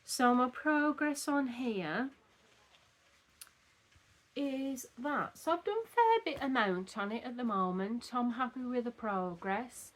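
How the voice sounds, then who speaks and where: Slow-talking woman, slightly croaky. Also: a little high, animated. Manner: rambling, chatty, with restarts. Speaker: cross-stitch vlogger. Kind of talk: casual chat showing craft progress. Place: at home at a table.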